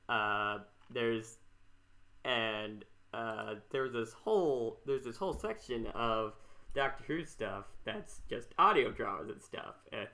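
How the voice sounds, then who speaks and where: A man hosting a podcast in casual chat, talking haltingly and rambling as he repeats himself. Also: fairly low pitch, sharp tone. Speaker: podcast host.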